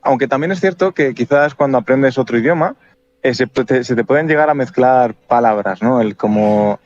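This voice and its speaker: A man, co-hosting a podcast and thinking aloud in a reflective, unhurried way. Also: mid-range voice, darkish tone, slight background noise. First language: Spanish. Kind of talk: casual chat. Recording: dull, muffled sound.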